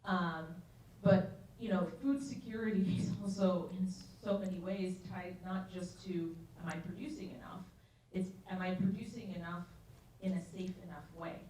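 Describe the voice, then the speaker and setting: A woman's voice: on the low side, moderate pace, mellow, slight background noise. A woman from Ethiopia speaking on a panel, explaining ideas thoughtfully with frequent restarts. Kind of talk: panel discussion. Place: large university event hall. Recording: echoey hall, far from mic.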